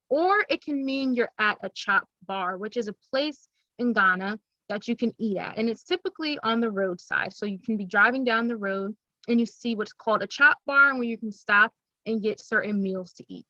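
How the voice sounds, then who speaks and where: A woman's voice: fairly high pitch, pushed and bright, no echo, highs cut off. A young woman giving an upbeat, teacherly presentation to schoolchildren, explaining things plainly. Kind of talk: recorded classroom presentation.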